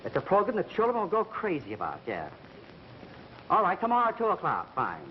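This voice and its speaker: An actor playing a flustered, distracted radio executive who stammers and repeats himself in a 1930s comedy film. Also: male voice, sits high, huge pitch swings, brisk. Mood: brisk, enthusiastic. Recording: muffled, noisy 1930s film soundtrack.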